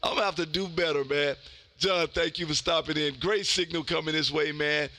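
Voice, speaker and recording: A man in his fifties with a loud, exuberant, folksy manner, chatting casually as he hosts amateur radio contacts. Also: a little high, steady tone, fairly quick, no echo. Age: 50s. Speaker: amateur radio operator hosting a live stream. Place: indoor home radio room.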